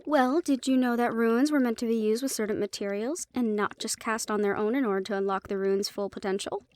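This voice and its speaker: A woman's voice: fairly high pitch, fairly quick, a little mellow, lightly acted. A woman playing a helpful, knowledgeable magic-shop keeper in a fantasy audio roleplay, explaining things with light teasing.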